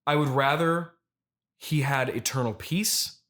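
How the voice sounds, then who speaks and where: A man playing a grandiose, evasive immortal turnip farmer and grieving mystic in an improvised comedy interview, speaking haltingly and pompously. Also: mid-range voice, a bit bright, lightly acted. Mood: grandiose, mournful.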